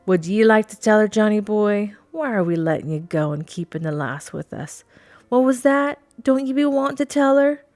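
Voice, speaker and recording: A woman voicing a gruff, gloating one-legged male pirate in a mock pirate dialect. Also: mid-range voice, expressive, normal speed, darkish tone, no room sound, voice pushed up, natural voice. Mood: taunting.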